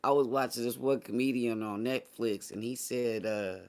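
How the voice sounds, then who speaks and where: A man's voice: medium pitch, steady tone, normal speed. An American man in casual, unscripted talk, opinionated and blunt, with slangy phrasing and plenty of swearing. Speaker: morning show host. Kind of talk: casual chat.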